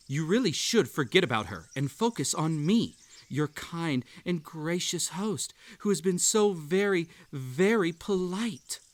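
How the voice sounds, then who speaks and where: A man's voice: a little high, no room sound. A man playing an unhinged, possessive bunny hosting a sinister tea party in a roleplay audio drama, coaxing and falsely polite. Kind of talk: scripted roleplay audio drama addressed to the listener.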